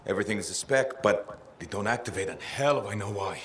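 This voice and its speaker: A man playing a stubborn, frustrated engineer in a video game cutscene, with a gruff, informal way of talking. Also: lowish voice, expressive, brisk, a little hiss or hum, lightly acted.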